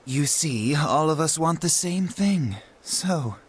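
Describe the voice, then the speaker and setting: An actor playing a scheming male count who speaks with courteous, menacing smoothness, in a video game cutscene. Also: fairly high pitch, animated, slow, heavily acted. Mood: smoothly menacing. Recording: game audio with music behind.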